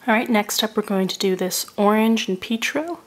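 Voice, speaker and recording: A woman's voice: mid-range voice, normal speed. Woman reviewing makeup, fighting a cold. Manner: methodical, descriptive. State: recovering from a cold. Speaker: beauty reviewer. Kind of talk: product review voice-over.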